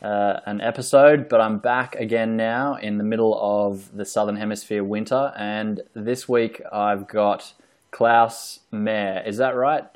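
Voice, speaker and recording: A man's voice: fairly low pitch, steady tone, a little slow, a little mellow. A man hosting a podcast interview, asking loose questions full of fillers and restarts. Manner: hesitant, filler-heavy questions. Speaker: podcast host. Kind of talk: casual interview. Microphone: online call recording.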